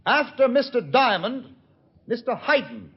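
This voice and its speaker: An actor playing a ruthless traitor nephew in a radio drama, commanding and mock-polite. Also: male voice, extremely high pitch, sing-song, a little slow, husky, heavily acted. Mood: coldly commanding. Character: smooth-talking, ruthless traitorous nephew holding a gun. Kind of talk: old-time radio drama acting. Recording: muffled old radio recording.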